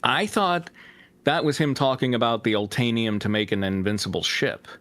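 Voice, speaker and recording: Male narrator and co-host, average pitch, casual conversational delivery for a live fanfiction reading. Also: normal speed, a bit bright, no echo. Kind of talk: casual chat and script narration.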